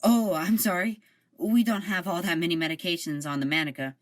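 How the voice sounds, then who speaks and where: A woman's voice: on the low side, steady tone, fairly quick, natural voice. A woman voicing an earnest, starstruck young male ship security officer in an amateur audio drama. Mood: eager.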